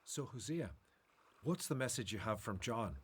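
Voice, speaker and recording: Man playing husky-voiced, expressive gentle teacher. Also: mid-range voice, normal speed. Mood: gentle, caring. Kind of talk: radio Bible drama acting.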